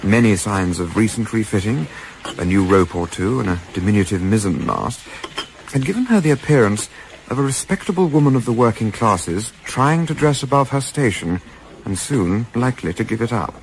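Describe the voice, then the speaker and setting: An actor playing a polite, inexperienced British gentleman yachtsman in a radio play, with genteel, old-fashioned literary phrasing. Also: lowish voice, lively intonation, moderate pace, slight background noise, poor audio. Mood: wry, measured. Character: polite, eager, inexperienced gentleman yachtsman narrating his memoir. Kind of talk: radio play narration and dialogue.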